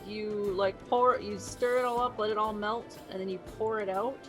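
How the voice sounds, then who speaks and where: A woman's voice: mid-range voice, steady tone, normal speed, music in the background. A woman playing a tabletop game, talking casually as she joins in.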